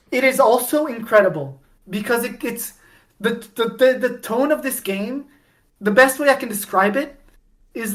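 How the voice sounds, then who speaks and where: A woman chatting as a podcast guest, rambling with many restarts and sudden bursts of excitement. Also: fairly high pitch. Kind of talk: casual podcast chat.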